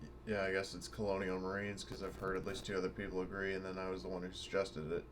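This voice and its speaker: A man's voice: low-pitched, completely flat delivery, fast, background noise. A man chatting casually as a player in a tabletop roleplaying game, with a dry way of talking.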